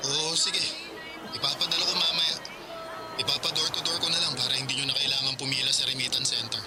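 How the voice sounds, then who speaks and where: A man's voice: mid-range voice, flat delivery, fast talker, loud music behind the voice, close to an everyday voice. A man playing a doting, generous uncle in a Tagalog radio drama, affectionate and obliging. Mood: friendly.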